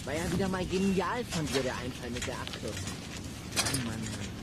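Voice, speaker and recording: A man's voice: mid-range voice, expressive, moderate pace, loud music behind the voice, natural voice. A man playing a clever, sarcastic boy detective in a children's audio drama, speaking in a pedantic, dry way. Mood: exasperated.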